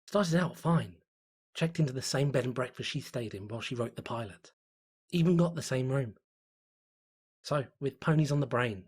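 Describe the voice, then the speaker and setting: A man's voice: mid-range voice, husky. A man playing a fearful, exhausted tourist, brooding uneasily through a diary in a horror story reading. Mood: regretful dread.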